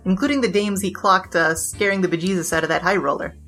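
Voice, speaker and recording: A woman's voice: fairly low pitch, fast, fairly bright tone. A woman playing a brash, boastful young bandit king who tells wisecracking, slangy stories in a 1920s noir comedy audio drama, with music behind. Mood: wry storytelling. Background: background music.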